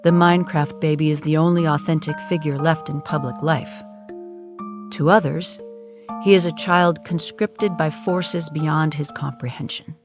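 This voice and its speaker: A woman giving solemn, measured, deadpan narration for an audio drama in a documentary style. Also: low voice, over music.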